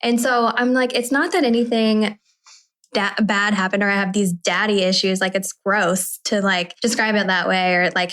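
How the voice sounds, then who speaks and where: Candid, rambling woman podcast host. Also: medium pitch. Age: 30s. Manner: candid, animated, rambling, with swearing. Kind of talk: casual podcast conversation.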